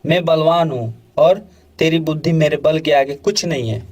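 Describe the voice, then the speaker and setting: A man voicing a proud, boastful lion with a commanding manner in an animated moral story. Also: fairly high pitch, normal speed, faint music behind, lightly acted. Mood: angry, boastful. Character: proud boastful male lion, quick to anger.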